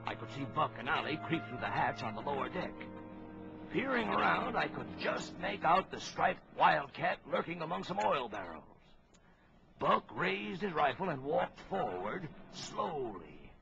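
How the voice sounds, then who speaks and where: A man's voice: a little high, big pitch swings, measured pace, vocal fry, bright, forceful tone, heavily acted. A man playing an authoritative ship's captain who narrates a suspenseful animal adventure for a children's story record. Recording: old lo-fi children's record, music behind.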